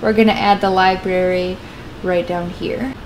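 A young adult woman narrating a software tutorial step by step, in an informal, matter-of-fact way. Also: on the low side, fairly even intonation, unhurried, slight background noise, poor audio. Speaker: tutorial creator and channel host. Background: guitar music.

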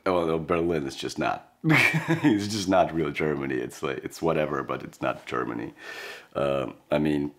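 Very deep-voiced man, wry chat. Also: animated, moderate pace. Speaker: stand-up comedian. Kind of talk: documentary interview.

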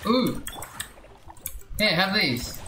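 A game streamer giving casual, excitable live commentary full of muttered repeats and exclamations. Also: mid-range voice, extremely slow, echoey room, faint music behind.

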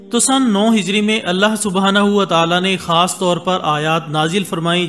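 High-voiced man narrating a documentary. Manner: measured, formal Urdu delivery with Arabic religious phrases. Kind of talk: scripted voice-over narration. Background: soft background music.

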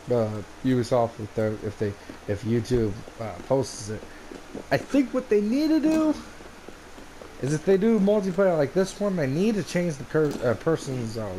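A man's voice: mid-range voice, mellow. A man from the United States giving casual, rambling let's play commentary into a gaming headset. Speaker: gamer recording a let's play. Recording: noisy headset mic, game ambience. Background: video game ambience.

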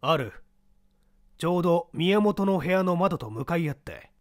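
A man voicing a composed older gentleman who explains patiently and a little condescendingly in a mystery reading. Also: a little high, animated, moderate pace, no room sound, clean studio sound, voice pushed up, close to an everyday voice. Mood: curt.

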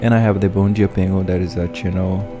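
A man with a very low voice, commenting casually on a video game in halting, chatty English. He restarts his sentences now and then, and loud game music plays beneath his voice-over. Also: fairly even intonation. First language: Portuguese. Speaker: gameplay host.

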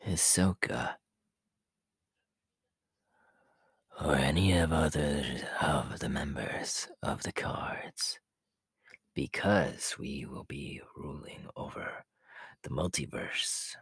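Creepy taunting snake villain asmr, super husky whispering, gloating, right up on the mic. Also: male voice, extremely low pitch, no room sound, exaggerated voice. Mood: mocking, threatening. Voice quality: breathy, raspy whisper. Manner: slow, drawn-out, gloating. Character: sinister, taunting male snake villain, menacing and possessive. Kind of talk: ASMR audio roleplay.

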